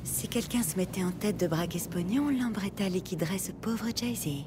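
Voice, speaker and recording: A woman's voice: lowish voice, normal speed, background noise, close to an everyday voice. A woman playing a sultry, scheming gangster's wife in a French audio crime drama, teasing and conspiratorial. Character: seductive, scheming, foul-mouthed gangster's wife. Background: music.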